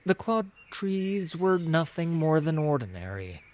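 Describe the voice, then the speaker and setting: A man narrating a fantasy audio drama in a solemn, measured, dramatic storytelling manner, letting phrase endings drop to a hush. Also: a little high, very animated.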